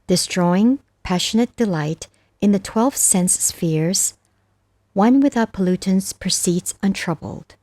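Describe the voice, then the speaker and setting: A woman reading scripture as scripted narration, reciting verses with measured, deliberate phrasing. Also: fairly low pitch, warm tone, no room sound. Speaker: narrator of a Buddhist scripture audiobook.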